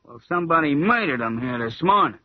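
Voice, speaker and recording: An actor playing a blunt, impatient police sergeant with slangy, demanding talk in a 1940s radio drama. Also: male voice, fairly high pitch, animated, fairly quick, no echo. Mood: gruff, impatient. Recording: muffled, old 1940s radio broadcast.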